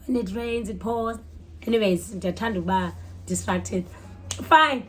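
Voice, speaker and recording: A woman's voice: medium pitch, unhurried, slight background noise. A woman with a South African Xhosa accent telling a story casually and expressively, switching languages mid-sentence. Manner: animated, code-switches mid-sentence, exclaims and acts out dialogue. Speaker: storytime vlogger.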